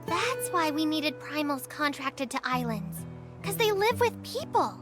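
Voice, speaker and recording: A woman's voice: high-pitched, very animated, measured pace. A woman voicing a small, cheeky childlike companion in a video game dub, talking casually and bluntly. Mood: eager. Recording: voice over background music.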